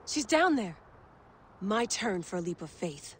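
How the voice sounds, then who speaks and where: A woman's voice: mid-range voice, sing-song, unhurried, slight background noise. An actress playing a stubborn, obsessive young woman researcher in a video game cutscene, with a curt, natural delivery. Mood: determined. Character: headstrong, obsessive young woman researcher chasing her dream.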